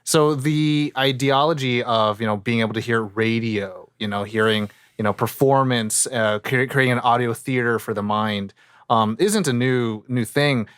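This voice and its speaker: A man's voice: mid-range voice, normal speed, fairly bright tone, clean tone, no echo, clean studio sound. A man chatting casually as a podcast host. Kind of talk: casual chat.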